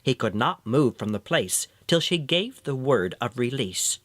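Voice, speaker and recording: Animated male fairy tale storyteller. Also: medium pitch, a little slow, dry recording. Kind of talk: scripted fairy tale narration.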